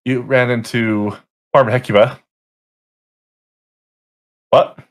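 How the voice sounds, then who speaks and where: A man's voice: medium pitch, steady tone, slow. A man running a tabletop game as game master, casually recapping the last session with frequent self-corrections, over a voice call.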